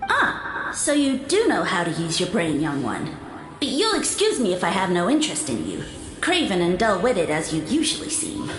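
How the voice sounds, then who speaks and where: A woman's voice: lowish voice, huge pitch swings, normal speed, roomy sound, background noise. A woman voicing a pompous, condescending mushroom lord in a video game, with grandiose and archaic formal speech. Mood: scornful. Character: pompous, condescending mushroom lord, self-styled sage of fungi.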